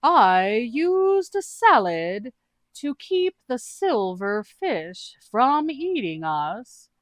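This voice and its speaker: Dopey fantasy adventurer, super slow drawn out talk, high voice, innocent storybook character. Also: female voice, big pitch swings, no echo, full character voice. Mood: innocent, evasive. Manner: drawn-out, deliberate delivery.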